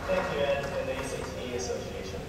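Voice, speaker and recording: A man's voice: medium pitch, fast talker, creaky. A man giving prepared, formal sponsor remarks, thanking the audience. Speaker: sponsor speaker. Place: large conference hall. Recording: reverberant hall, distant mic, room noise.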